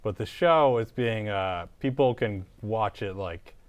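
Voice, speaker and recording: Casual deadpan man hosting comedy talk show. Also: lowish voice, a little slow. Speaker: comic talk show host. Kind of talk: improvised comedy interview, casual chat.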